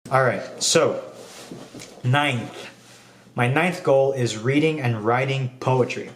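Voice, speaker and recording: A man's voice: mid-range voice, slow, far from the mic, slight background noise. Chatty young man in an echoey room. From Philippines. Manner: relaxed, rambling, frequent um and like fillers. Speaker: video diarist. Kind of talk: casual personal vlog. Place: room at home.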